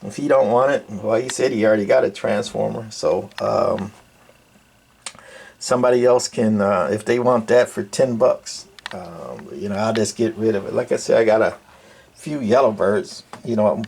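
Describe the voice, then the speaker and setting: Older man, creaky voice, rambling casually. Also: on the low side, moderate pace, darkish tone, slight background noise. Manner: rambling, colloquial, folksy. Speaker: hobbyist radio repairer and video presenter. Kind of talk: casual unscripted bench walkthrough.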